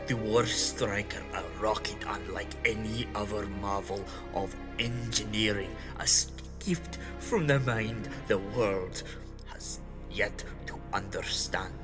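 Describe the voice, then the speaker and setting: An actor playing a merciless Nazi general in a fan audio drama, speaking in pompous, menacing oratory. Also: male voice, on the low side.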